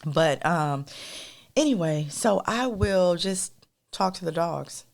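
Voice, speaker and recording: A woman co-hosting a podcast in a casual, rambling monologue with a soft, melodic voice, talking straight to listeners as y'all. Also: fairly low pitch, lively intonation, takes it slowly, creaky. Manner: rambling, addresses listeners as y'all, many fillers. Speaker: podcast co-host.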